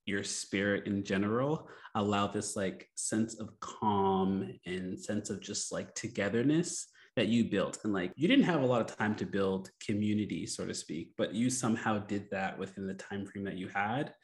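A fairly low-pitched man hosting a relaxed interview conversation. He talks with eager enthusiasm, full of praise, filling his sentences with "like" and "you know". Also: normal speed, few pauses. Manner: enthusiastic, heavy use of "like". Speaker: podcast host and international school teacher. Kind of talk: casual interview chat.

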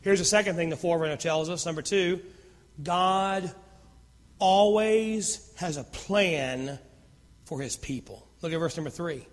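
A man preaching a sermon as pastor, with a naturally loud voice that swings from fervent emphasis to hushed near-whispers. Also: a little high, measured pace, long reverb tail.

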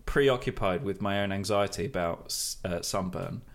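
A man's voice: low voice, moderate pace. A man co-hosting a comic podcast, talking in a dry, wry way. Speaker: podcast host.

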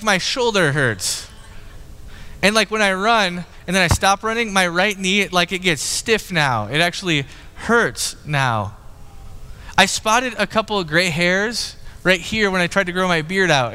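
Man, 30s, pastor; conversational sermon, higher voice, reverberant hall. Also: animated, unhurried, bright, forceful tone, smooth. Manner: conversational, candid, with frequent restarts and "like".

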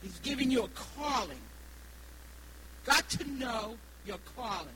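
A woman in her sixties with a New England accent preaches haltingly, repeating phrases and stressing key words. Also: a little high, expressive. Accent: New England American. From Rhode Island, United States. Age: 60s. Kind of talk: evangelical sermon with personal testimony. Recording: noisy live recording with hum.

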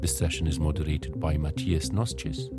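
A man's voice: a bass voice, fairly even intonation, moderate pace. A man reading a scripted podcast introduction as its host. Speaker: podcast host, festival artistic director. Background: music behind the voice.

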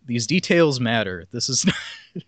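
A man's voice: medium pitch, sing-song, unhurried, fairly bright tone, dry recording. A man hosting a podcast, reading a plot summary aloud with casual asides. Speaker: podcast host reading film synopses.

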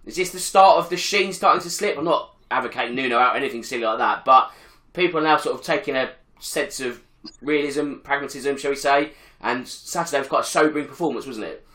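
A man hosting a football podcast, hoarse from a chest infection, talking in an upbeat, chatty way. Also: mid-range voice, quick pace, pushed and bright, roomy sound. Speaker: podcast host. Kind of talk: casual football podcast chat.

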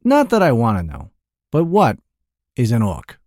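Boltak, a gruff merchant as a man puts him on. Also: mid-range voice, wildly swooping pitch, unhurried, darkish tone, no room sound, studio quality. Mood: gruff, incredulous. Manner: blunt, incredulous, crude. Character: gruff skeptical middle-aged male shopkeeper.

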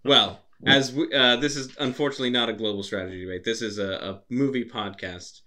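A man's voice: medium pitch, a little fast, bright, forceful tone. A man from Los Angeles hosting a podcast, loose and joking, laughing often. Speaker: podcast host. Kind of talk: casual chat.

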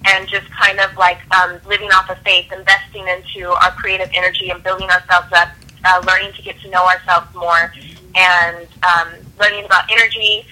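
A woman's voice: lowish voice, level tone, moderate pace, pushed and bright. A woman calling in as a guest, telling her story conversationally over a phone line. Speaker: phone-in guest, lifestyle brand co-founder. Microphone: phone call. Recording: tinny telephone line.

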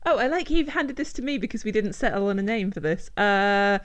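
A woman co-hosting a casual film podcast, self-deprecating and quick to laugh. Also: medium pitch, animated, smooth. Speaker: podcast co-host.

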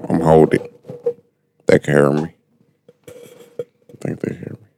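A loud, joking man with a very deep, dark, gravelly voice, recorded dry and close. He laughs often and teases the others. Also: completely flat delivery, measured pace, a little hiss or hum. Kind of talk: casual chat.

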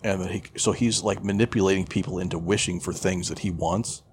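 A man's voice: deep voice, fairly even intonation, brisk, noisy background. A man chatting casually as a podcast co-host, retelling a story in a profane, irreverent, rambling way. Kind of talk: casual chat, retelling a film plot.

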